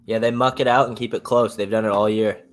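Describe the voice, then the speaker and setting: A young man with a raspy voice co-hosting a casual sports betting livestream, laid-back and agreeable. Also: on the low side, steady tone, quick pace. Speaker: sports betting stream co-host.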